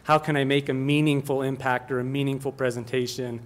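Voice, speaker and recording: A man's voice: mid-range voice, flat delivery, fairly quick. A middle-aged man from Colorado giving an earnest, plainspoken public comment at a meeting, often restarting his sentences.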